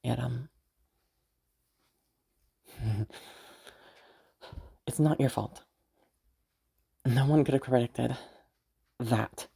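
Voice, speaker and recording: Young noblewoman for audio roleplay played by a woman: very deep for a female voice, gravelly with heavy fry, fond, teasing, hesitant. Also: exaggerated voice. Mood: somber, hesitant. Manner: hesitant, halting, with soft chuckles and sighs. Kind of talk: roleplay audio drama, intimate conversational acting.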